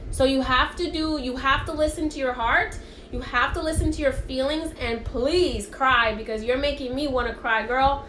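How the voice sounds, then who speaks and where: Woman, high voice, native Spanish speaker, live card reading, chatty and encouraging, echoey room on a phone mic. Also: normal speed, fairly bright tone. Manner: chatty and encouraging, often checks in with "okay?". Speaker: spiritual card reader. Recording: echoey room, faint background hiss.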